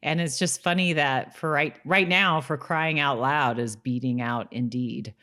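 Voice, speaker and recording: Female co-host, deep register for a woman, casual conversational delivery. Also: unhurried, bright, forceful tone. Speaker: podcast co-host. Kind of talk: casual chat about a TV episode.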